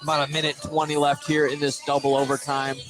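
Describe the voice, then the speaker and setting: A man doing live play-by-play commentary of a high school basketball game from inside a gym. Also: completely flat delivery, quick pace, over music. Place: indoor gymnasium commentary position.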